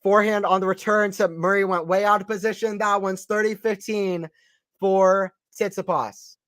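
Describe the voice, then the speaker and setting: A man's voice: sits high, normal speed, dry recording, studio quality. A man from the American South with a slight Southern accent, giving casual live play-by-play commentary on a tennis match and chatting with viewers. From Atlanta, Georgia, US. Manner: excitable, rises sharply on big points; casual, chatty, answers chat questions.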